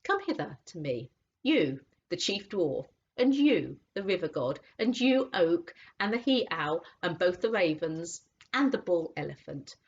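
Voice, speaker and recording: A woman reading as a slow, solemn lion king. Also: on the low side, very animated, slightly rough, exaggerated voice. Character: majestic male lion, gentle and wise. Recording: dull lo-fi audiobook recording.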